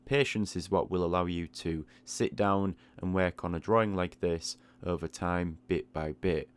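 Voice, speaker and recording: A man giving a casual, conversational voice-over as an art tutorial host, explaining a process step by step in an unhurried way. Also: low voice, steady tone, clear, resonant tone.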